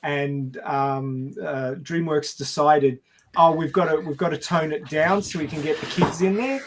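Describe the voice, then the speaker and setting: A man's voice: fairly high pitch, steady tone, unhurried. A man in a casual film discussion, telling behind-the-scenes anecdotes and acting out quotes as he goes. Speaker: podcast panelist.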